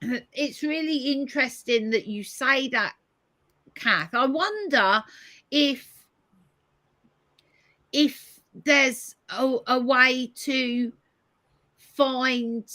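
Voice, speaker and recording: A woman hosting a live-stream conversation over a video call, hoarse today, thoughtful as she reads out viewer comments. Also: high voice, drawn-out words, pauses often, bright, forceful tone, no echo. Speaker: host.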